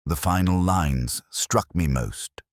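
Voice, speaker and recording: A man giving scripted first-person detective narration in a measured, reflective, solemn manner. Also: low-pitched, no echo, studio quality.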